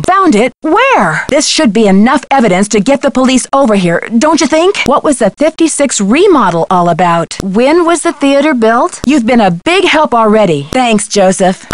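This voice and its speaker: A woman's voice: sits high, no echo. A woman playing a plucky, determined young amateur detective in a video game, earnest and inquisitive. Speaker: voice actress in a detective adventure game. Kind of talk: scripted video game dialogue.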